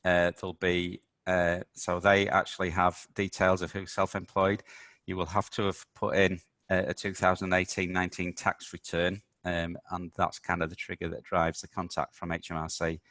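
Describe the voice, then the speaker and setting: A man hosting a business webinar, a little croaky from talking all week, explaining with many ums and uhs. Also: low voice, completely flat delivery, normal speed, a bit bright, no echo. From United Kingdom. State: slightly croaky voice. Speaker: webinar host, accountant.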